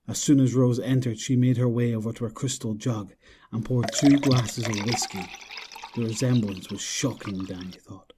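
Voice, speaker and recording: A man's voice: mid-range voice, fairly even intonation, moderate pace, noisy background. A man reading scripted story narration as the narrator of an audio drama.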